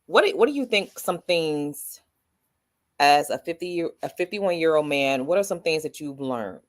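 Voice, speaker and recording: A woman hosting a casual podcast interview, chatty and quick to laugh, with lots of small agreements while her guest talks. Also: low-pitched, animated, no room sound, studio quality. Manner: warm, chatty, laughs often, many backchannels. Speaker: podcast host.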